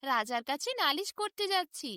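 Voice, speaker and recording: Storybook old village woman, played by a female narrator: plaintive, scolding, high and bright. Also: expressive, moderate pace, no room sound, clean studio sound. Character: aggrieved, determined old village woman who loves rice.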